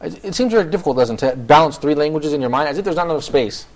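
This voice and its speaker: A man's voice: a little high, lively intonation, very fast, a crowd in the background. A man from the United States hosting a studio talk show, asking the guests questions. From San Francisco, USA. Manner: upbeat, asks guests questions. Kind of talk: casual studio panel talk.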